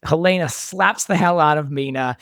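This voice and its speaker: Male interview guest, lighter higher register, casual storyteller, dry studio-style sound. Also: normal speed, a bit bright, dry recording. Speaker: guest, show creator and producer.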